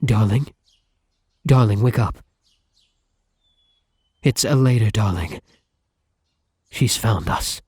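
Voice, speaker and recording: A man playing a devoted, courtly vampire lover in an ASMR roleplay, with a husky, breathy voice and formal, flowery endearments. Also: fairly low pitch, slow pace, long pauses, a little mellow, dry recording, clean studio sound. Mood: grave, urgent. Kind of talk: ASMR roleplay, scripted romantic monologue.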